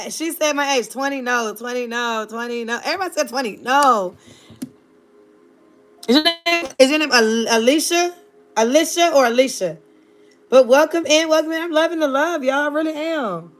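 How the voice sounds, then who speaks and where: Sassy lady in her 30s from virginia talking to her live chat, kinda high voice, faint music behind. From Virginia, United States. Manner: sassy, playful, talks straight to her chat. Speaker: livestreamer. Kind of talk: casual live-stream chat with viewers.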